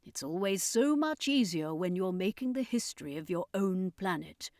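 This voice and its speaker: A woman's voice: fairly low pitch, animated, moderate pace, darkish tone, no room sound, clean studio sound. A woman voicing a boastful, theatrical male circus master in an audiobook, pompous and proclaiming. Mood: reflective.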